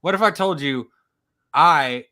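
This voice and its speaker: A man's voice: a little high, slow. A man from Oklahoma with a slight Southern accent, telling stories in a casual conversation. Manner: storytelling, animated in quoted lines. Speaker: historian researcher.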